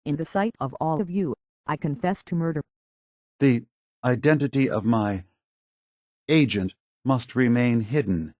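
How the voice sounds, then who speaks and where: An actor playing a weary, guilt-burdened synth leader in a video game, speaking softly in a measured, grave, philosophical way. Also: male voice, medium pitch, no room sound, clean studio sound. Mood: resolute. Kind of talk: scripted video game character dialogue.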